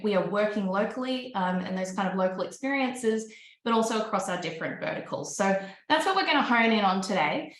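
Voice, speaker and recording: A woman's voice: medium pitch, echoey room. A woman with an Australian accent moderating an online panel, chatty and upbeat with many ums. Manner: chatty, upbeat, frequent ums and light laughs.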